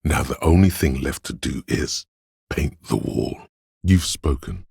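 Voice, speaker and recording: A man's voice: animated, unhurried, darkish tone, no room sound, studio quality, heavily acted. A man voicing a grandiose, rapturous inner voice of artistic imagination in a video game, with theatrical, savouring delivery of poetic lines. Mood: wry, dramatic. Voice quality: very deep, gravelly, husky. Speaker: game voice actor. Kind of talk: dramatic literary game narration.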